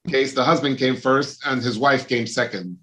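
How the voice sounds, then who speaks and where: A middle-aged man from Lebanon speaks as a plastic surgeon being interviewed, his voice sitting in an ordinary middle pitch. He explains at a measured pace. He fills his pauses with uh and um while he searches for the right word. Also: bright, forceful tone, poor audio. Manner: measured, explanatory, many fillers. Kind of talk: interview answers.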